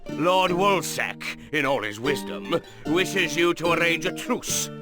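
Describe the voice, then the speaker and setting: An actor playing a gruff, hot-headed medieval knight with an emphatic, forceful manner in a video game. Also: male voice, fairly high pitch, lively intonation, unhurried, over music. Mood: grudging, commanding. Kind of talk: video game briefing acting.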